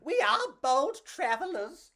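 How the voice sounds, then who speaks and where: A woman's voice: high-pitched, very animated, slow, pushed and bright, voice pushed up, heavily acted. A woman voicing a bold, chirpy little male cockroach adventurer in a children's storybook, exclaiming eagerly. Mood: eager, excited.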